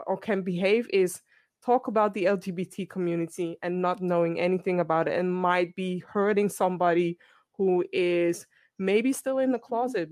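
A woman's voice: medium pitch, darkish tone, no echo. A woman hosting a panel discussion, earnest and conversational, often restarting her sentences. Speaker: host.

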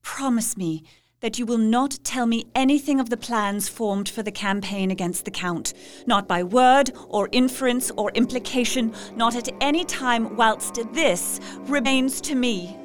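An actress playing a haunted young wife, intense and measured, pleading with resolve. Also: female voice, on the high side, faint music behind, natural voice. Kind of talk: audio drama acting.

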